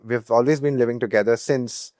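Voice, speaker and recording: A man's voice: mid-range voice, fairly even intonation, brisk, a little mellow, dry recording, clean studio sound. A man with an Indian accent speaking casually as a startup founder in an interview, often repeating words as he goes.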